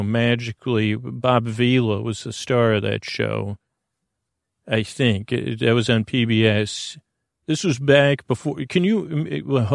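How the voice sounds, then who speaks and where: A man hosting a bedtime podcast in a creaky, soothing voice, meandering haltingly through a rambling monologue and trailing off into tangents. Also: mid-range voice, dry recording, clean studio sound. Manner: lulling, soothing. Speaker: host of a bedtime sleep podcast.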